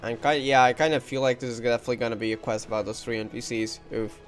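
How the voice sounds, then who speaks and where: Guy streamer just chatting thru a game, medium pitch, chill offhand reactions, bit of game music under it. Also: steady tone, fast talker. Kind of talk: casual live playthrough commentary.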